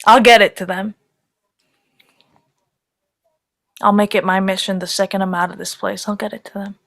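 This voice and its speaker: A woman's voice: medium pitch, flat delivery, fast talker, gravelly, close to an everyday voice. A woman on stage playing a weary but playfully inventive woman sifting through fire ruins, in naturalistic stage acting. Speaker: actor in a staged play reading.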